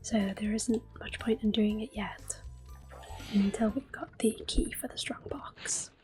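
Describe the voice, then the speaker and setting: Whispering woman, soft ASMR game commentary. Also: medium pitch, steady tone, measured pace. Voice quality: soft, breathy, mostly whispered. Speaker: video game streamer. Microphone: close microphone. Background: soft game music.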